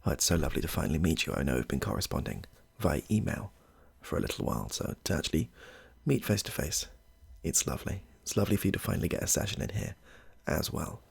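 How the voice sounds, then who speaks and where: Man playing a soft-spoken, deep-voiced British therapist. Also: very animated, fast talker, vocal fry, few pauses, slightly rough, full character voice. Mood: welcoming, reassuring. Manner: gentle, reassuring, murmuring small approvals. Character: polite, chatty, slightly odd male therapist greeting a client. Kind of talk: soft-spoken ASMR roleplay.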